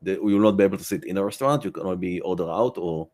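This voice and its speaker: A man speaking as a podcast guest in casual conversation, with halting, choppy phrasing and slightly irregular English grammar. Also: a little mellow. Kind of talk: casual interview chat. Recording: noisy call with low hum.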